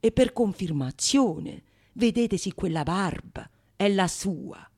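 Actress as crafty painter in stage farce, man of honour, lowered voice, menacing, declamatory. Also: lively intonation, slow pace, darkish tone. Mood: smooth protesting. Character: sly, bold male painter, righteously accusing impostor. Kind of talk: theatrical radio monologue of Renaissance comedy.

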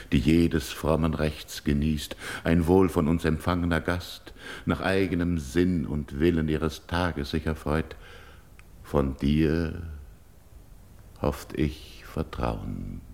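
A proud older king in a classical radio play, speaks very low with a gravelly creak. His is solemn and slow, declaimed as verse in a roomy space. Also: darkish tone, exaggerated voice. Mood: stern, reproachful. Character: grieving, proud older king, childless widower-like ruler seeking a bride.

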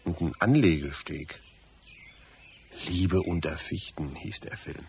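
An actor playing a lonely, hard-drinking cabin caretaker in a German radio drama, blunt and colloquial with a gravelly voice. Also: male voice, low-pitched, takes it slowly, darkish tone, a little hiss or hum, exaggerated voice. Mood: gruff, sociable. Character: lonely, hard-drinking limping male cabin caretaker, rough and plain-spoken.

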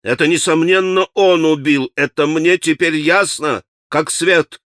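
A man's voice: a little high, animated, unhurried, no room sound, studio quality. A man voicing a frantic, hot-tempered accused man who protests his innocence in an agitated, insistent, breathless way, as in a dramatic audiobook. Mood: desperate, insistent. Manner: agitated, shouting, rambling, repeating himself. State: gasping for breath. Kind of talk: dramatic audiobook character acting.

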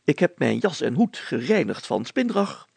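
A man voicing an excitable, secretive village mayor in an audiobook, telling things emphatically and deliberately in a creaky voice. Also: on the high side, no echo, full character voice. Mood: emphatic, secretive. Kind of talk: acted audiobook dialogue.

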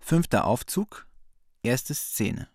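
A man with a medium-pitched voice serves as announcer in a radio play. He reads scenes and stage directions in a scripted narration style. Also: very animated, slow, over loud music.

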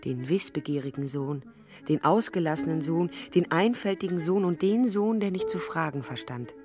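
An actress playing a tender, loving mother in a German radio drama, speaking in a gentle, measured way. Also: low-pitched, lively intonation. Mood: solemn, tender. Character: tender, loving German mother addressing her young daughter. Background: music.

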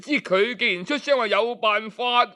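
A man telling a traditional tale as an audiobook storyteller, colloquial and expressive, with rhetorical questions and exclamations. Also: very high voice, moderate pace.

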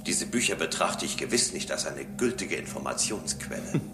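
An actor playing a calm, devout and condescending male robot in a German radio drama, speaking in a measured, formal way. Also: low-pitched. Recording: echoing voice with loud music.

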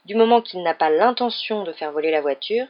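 A woman's voice: lowish voice, lively intonation, brisk, highs cut off, close to an everyday voice. Woman playing a weary, sheepish wizard dad. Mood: sheepish, evasive. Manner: rambling explanations, stammers when caught out. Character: weary middle-aged wizard father, enthusiastic, sheepish, henpecked.

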